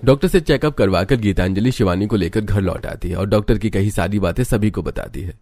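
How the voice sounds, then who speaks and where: A man's voice: fairly low pitch, fairly quick, a little mellow. A man narrating a scripted story in the style of a storybook.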